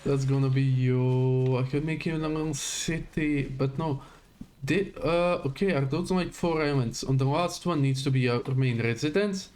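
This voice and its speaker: A man's voice: mid-range voice, moderate pace, darkish tone. A man giving casual live gameplay commentary, muttering to himself in short fragments. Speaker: game livestreamer.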